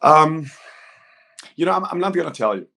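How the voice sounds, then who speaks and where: A man's voice: fairly high pitch, animated, normal speed, creaky, sharp tone, poor audio. A middle-aged man from Hungary with a Hungarian accent, talking informally and discursively as a coach being interviewed. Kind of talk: conversational interview talk.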